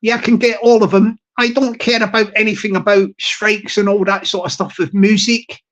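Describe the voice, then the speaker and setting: A man's voice: sits high, flat delivery, normal speed, fairly bright tone. Rambling, chuckling male live streamer. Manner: rambling, repeats words and restarts often, chuckles between phrases; hearty, upbeat. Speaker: live streamer greeting chat viewers.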